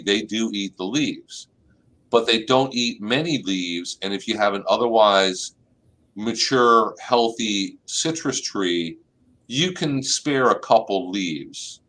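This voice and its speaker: Lively low-voiced man hosting a plant show. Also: slow pace, low-quality recording. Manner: relaxed, explanatory, uses y'all. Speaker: extension agent and plant clinic host. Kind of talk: live online plant advice show. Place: office. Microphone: webcam on a video call.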